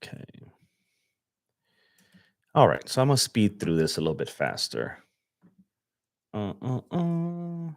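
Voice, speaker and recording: A young man from Los Angeles chatting casually on a livestream as he edits photos, relaxed and slangy, thinking aloud with fillers. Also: on the low side, slow, long pauses, no echo, clean studio sound. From Los Angeles, USA. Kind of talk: casual livestream chat while editing. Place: home desk setup.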